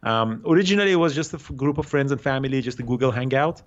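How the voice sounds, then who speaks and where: A man in his thirties from Iran with an Iranian accent, answering interview questions haltingly with many fillers and restarts. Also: medium pitch, lo-fi sound. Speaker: guest day trader.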